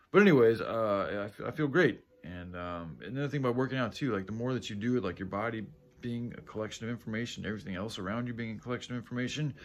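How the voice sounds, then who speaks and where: A man with a groggy, tired voice talking casually to viewers in a halting, self-correcting, sweary ramble, often clearing his throat. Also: on the low side. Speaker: vlogger.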